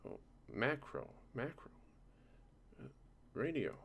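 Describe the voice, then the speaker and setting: A man presenting a software tutorial informally, hesitating and thinking aloud as he goes. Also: medium pitch, wildly swooping pitch, takes it slowly, vocal fry. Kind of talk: casual screen-recorded software tutorial. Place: indoors at a computer. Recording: noisy home desktop recording, keyboard clicks. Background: keyboard typing, background noise.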